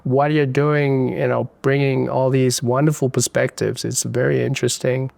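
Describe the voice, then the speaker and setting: A man putting on a slow, folksy Southern US man's drawl. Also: medium pitch, little pitch movement, gravelly, darkish tone, dry recording, close to an everyday voice.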